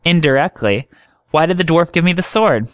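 Man voicing earnest young man, thoughtful, lively rise and fall, dry close sound. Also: a little high, normal speed, no echo, pitched above the performer's own voice, close to an everyday voice. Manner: thoughtful, reasoning. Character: earnest young man explaining his strange dreams.

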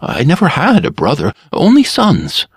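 A man's voice: on the high side, huge pitch swings, moderate pace, vocal fry, husky, no room sound, voice pushed up, exaggerated voice. A timid barber who answers in a raised, strained voice, mumbling with anxious deference, as a male narrator puts him on. He sounds fearful yet careful with every word. The voice is close and dry, in a storybook reading. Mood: servile.

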